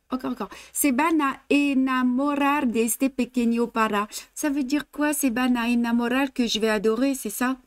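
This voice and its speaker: A woman's voice: sits high, no echo. A woman in her fifties from France with a French accent, teaching embroidery live in a chatty way, laughing often and slipping into English and Spanish. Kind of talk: live-streamed stitching lesson. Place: indoor studio, blue backdrop.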